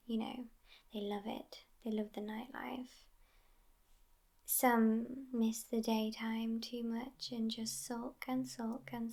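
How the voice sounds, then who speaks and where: A woman plays a sassy immortal vampire girlfriend in an intimate ASMR roleplay. Her breathy whisper is slow and creaky at the edges. The delivery stays level, world-weary and teasing. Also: fairly high pitch, exaggerated voice. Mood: wry, amused. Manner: unhurried, soft, intimate whispering.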